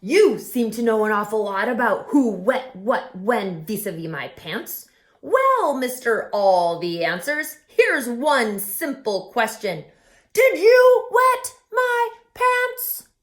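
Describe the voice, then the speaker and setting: A woman's voice: a little high, big pitch swings, slow. A woman voicing an indignant male bear who accuses his friends in a huffy, dramatic way. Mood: accusing. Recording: roomy echo.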